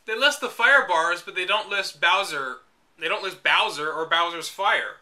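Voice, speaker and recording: A man's voice: sits high, lively intonation, moderate pace, sharp tone. A man reading a game guide aloud and breaking off for wry, exasperated asides. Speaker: reader and commentator. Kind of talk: reading a book aloud with sarcastic commentary.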